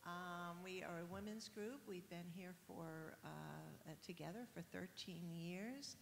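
Older new lady w a gravelly low voice talking in a big echoey hall, faint hiss. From New York. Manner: earnest, halting with fillers. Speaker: women's group leader. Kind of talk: church panel discussion. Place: church stage, large hall. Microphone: handheld mic.

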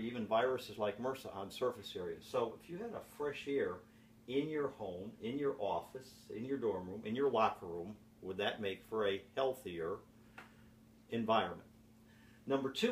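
A man in his sixties giving an informal sales presentation to camera in a deliberate, questioning manner. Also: fairly low pitch. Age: 60s. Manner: deliberate, with repeated rhetorical questions. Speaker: presenter pitching home health products. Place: home office, indoors. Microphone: camera mic from across the room. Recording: echoey, distant camera mic with background hiss.

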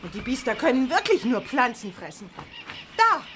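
Casting: young adventure heroine, high and very animated, excitable, anxious, audio drama with noisy ambience. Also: female voice, normal speed. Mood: excited. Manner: dramatic, exclamatory. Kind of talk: German audio drama acting.

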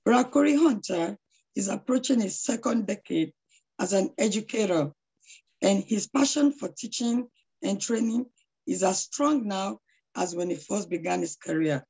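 A middle-aged woman with a hoarse voice, introducing a speaker on a video call with effusive praise and frequent prayers. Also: mid-range voice, moderate pace, a little mellow, low-quality recording. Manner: devout, effusive, praying often. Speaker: charity founder introducing a speaker. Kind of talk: online lecture introduction. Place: small room at home. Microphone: laptop webcam on a video call.

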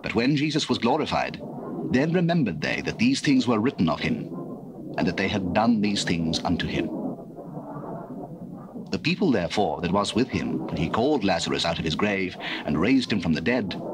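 A man's voice: deep voice, sing-song, normal speed, noisy background. A man narrating a dramatized scripture in a formal, solemn storytelling manner.

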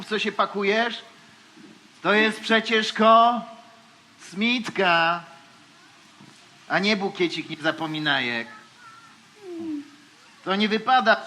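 A man's voice: high voice, sharp tone, a little hiss or hum, poor audio. A man playing a pompous, scolding, easily scandalised busybody in a children's radio play, lecturing with exaggeration.

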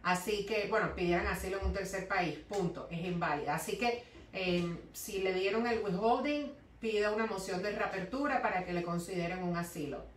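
A woman's voice: mid-range voice, moderate pace, slight background noise. Woman, 30s, Cuban accent, explains and reads questions aloud; echoey room, sitting back from the webcam. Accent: Cuban Spanish. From Cuba. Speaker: immigration lawyer. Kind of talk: live Q&A, answering viewer questions. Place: law office, indoors. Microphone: webcam at desk distance. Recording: echoey office, voice a bit far from mic.

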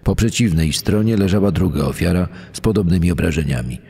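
A man's voice: sits low, animated, a little fast, gravelly, dark tone, husky, full character voice. A man playing a sheriff's deputy reading a grim, formal report in a documentary. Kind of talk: acted reading of a written report. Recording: long reverb with noisy ambience.

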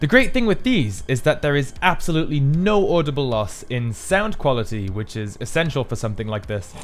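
Guy doing diy build voiceover, normal mid pitch, music in the back. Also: animated, fairly quick. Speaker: maker presenting a build. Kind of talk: scripted how-to narration.

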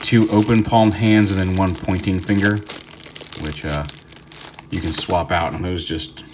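A man's voice: low voice, noisy background. A man talking casually through a hands-on toy review, rambling with fillers and restarts, recorded indoors at a tabletop.

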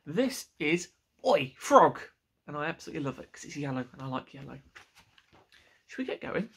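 A man's voice: on the high side, sing-song, normal speed, fairly bright tone, breathy edge. A young man, reading a picture book and chatting to children as a school storyteller.

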